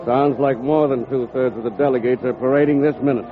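A man acting a cagey, practical governor in an old-time radio drama, measured and guarded. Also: mid-range voice, fairly even intonation, loud music behind the voice, lightly acted. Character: cagey, practical male politician, a state governor. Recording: noisy, tinny old radio broadcast.

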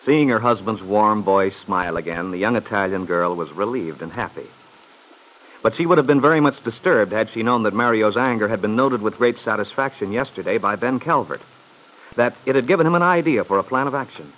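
A man's voice: on the low side, animated, a little fast, fairly bright tone, poor audio. A man narrating a classic radio drama from a script.

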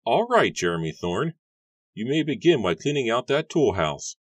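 Guy doing a grumpy big old farmer, squeezed way up high, curt and grudging. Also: big pitch swings, moderate pace, dry recording. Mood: commanding. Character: gruff heavyset older male farmer, brusque.

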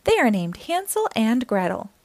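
High female voice, very lively intonation, children's read-aloud narration, encouraging storytime host. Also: moderate pace, no echo. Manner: animated, encouraging, addressing young listeners directly.

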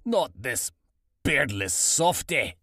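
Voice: mid-range voice, sing-song, slow, sharp tone, slightly rough, no echo, clean studio sound, full character voice. An actor voicing a mocking dwarf miner who delivers theatrical, scornful insults. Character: gruff, mocking dwarf miner bullying a beardless companion.

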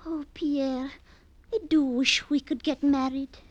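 High-voiced, unhurried young romantic lady. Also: steady tone, muffled recording. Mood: earnest, pleading. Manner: theatrical, drawn-out romantic delivery. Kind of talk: old-time radio drama acting.

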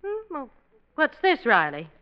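A woman's voice: high-pitched, wildly swooping pitch, full character voice. An actress playing a patient, placating wife and mother in a 1940s radio sitcom. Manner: placating, measured. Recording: muffled old radio broadcast.

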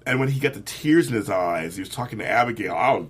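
A man's voice: fairly low pitch, expressive, fast talker, breathy edge. A man co-hosting a casual fan chat, enthusiastic and rambling. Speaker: co-host.

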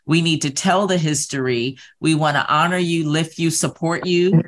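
A woman's voice: low voice, fairly even intonation, normal speed. A woman hosting a panel discussion, speaking thoughtfully with frequent fillers. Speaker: host.

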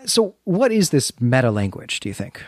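Halting, thoughtful man in discussion. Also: mid-range voice, very animated, darkish tone. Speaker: podcast co-host discussing fiction. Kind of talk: conversational literary discussion.

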